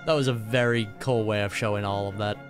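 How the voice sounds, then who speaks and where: A man's voice: on the low side, steady tone, brisk, over music. A man giving casual, chatty, musing reaction commentary as a video host.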